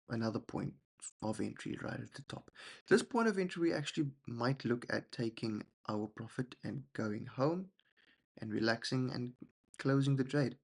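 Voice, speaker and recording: A young man, a husky voice and a rambling, self-deprecating manner, walking through trades and often checking in with "okay?". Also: mid-range voice, a little mellow. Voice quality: gravelly, husky. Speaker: trading channel host. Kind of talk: casual screen-share trading walkthrough.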